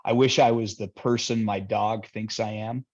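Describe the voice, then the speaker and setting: Middle aged lawyer guy on a podcast, husky voice, jokey and candid, kinda muffled lo-fi audio. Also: on the low side, fairly even intonation, moderate pace, a little mellow. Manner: joking, candid, chatty. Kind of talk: casual interview talk. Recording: dull lo-fi sound.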